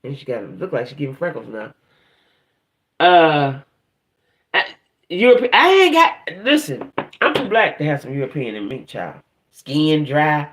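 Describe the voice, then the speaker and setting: Animated, chatty woman live-stream host. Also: deep voice, unhurried, pauses often, a little hiss or hum. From northern United States. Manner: playful, rambling, colloquial, frequently checking in with "right?". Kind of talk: casual chatty live stream, reading and answering comments.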